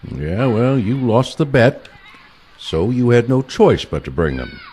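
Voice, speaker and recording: An actor playing an older retired male assassin, a stern mentor with a dry, curt, deadpan manner in a comic audio play. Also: medium pitch, measured pace, slightly rough.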